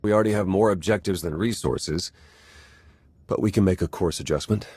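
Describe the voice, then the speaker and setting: An actor playing a pragmatic, level-headed military strategist in a video game, measured and matter-of-fact. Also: male voice, low-pitched, a little mellow, breathy edge. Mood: sober.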